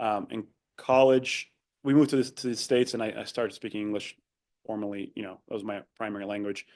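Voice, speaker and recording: Low-voiced man, thoughtful, many fillers. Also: level tone, brisk, a little mellow. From Argentina. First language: Spanish. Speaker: podcast guest. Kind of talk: casual interview conversation.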